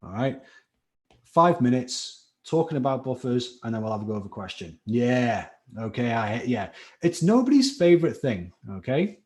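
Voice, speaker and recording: A man with a touch of Scouse in his accent teaches chemistry in a live online class. He talks in a chatty, encouraging way, greets viewers by name, and his voice sits at an ordinary middle pitch for a man. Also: big pitch swings, moderate pace. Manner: chatty, encouraging, reads out chat names. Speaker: chemistry tutor.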